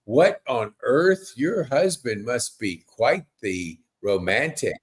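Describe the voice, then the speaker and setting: A man's voice: mid-range voice, lively intonation, slow pace. A middle-aged man hosting a casual podcast interview, effusive and rambling, with stop-and-start questions. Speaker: podcast host.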